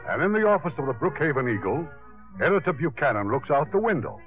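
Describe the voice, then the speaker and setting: A man speaking as a formal, measured host and narrator of a 1950s radio broadcast. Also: fairly high pitch, sing-song, phone-quality sound. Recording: old radio recording, thin sound, music behind.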